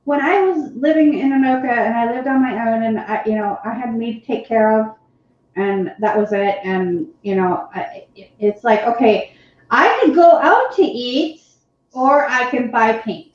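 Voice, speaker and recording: A woman's voice: on the high side, normal speed. A woman co-hosting a live painting stream, chatting in a rambling way and laughing often. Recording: very echoey, dull lo-fi sound.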